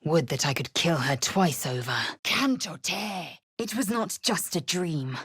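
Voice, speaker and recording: A stern, imperious alien warrior woman for a video game. Her voice sits low and has a husky grain. She speaks slowly in formal, clipped phrases, proud and fierce. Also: expressive, a bit bright, no room sound, studio quality, exaggerated voice. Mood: grave, recounting. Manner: clipped, formal, haughty delivery. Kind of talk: video game character voice acting.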